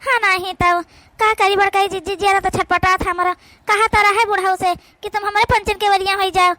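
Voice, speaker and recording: A woman's voice: very high voice, level tone, normal speed, sharp tone, dry recording. A woman with an Awadhi accent voicing a fretting, tender-hearted village daughter-in-law in a cartoon. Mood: worried, gossiping. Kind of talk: amateur cartoon voice acting.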